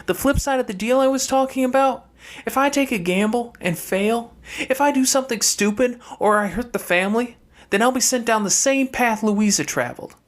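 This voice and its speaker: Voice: mid-range voice, normal speed, voice pushed up, close to an everyday voice. A reader voicing a calculating young girl from a wealthy family in an audiobook, airy and pointed with a haughty disdain. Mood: insistent, calculating.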